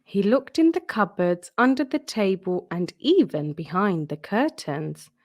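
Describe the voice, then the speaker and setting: Woman reading a kids picture book out loud, slow and really animated. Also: mid-range voice. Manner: expressive, deliberate storytelling. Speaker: storyteller. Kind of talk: children's storybook read-aloud.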